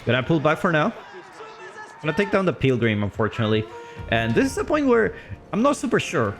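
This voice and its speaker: A man streaming live commentary on a strategy game match. He is chatty and loose, and his voice leaps up on big plays before settling back to calm explaining. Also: a little fast, soft background music. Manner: excitable, voice jumps high on big plays, then calm explaining; loose and chatty, with fillers and restarts.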